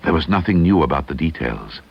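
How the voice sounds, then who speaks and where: Deep-voiced man reading a ghost story. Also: big pitch swings, husky. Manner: measured, suspenseful, slowing and hushing at eerie moments. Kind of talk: dramatic audiobook narration. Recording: dull lo-fi archival tape digitised from cassette.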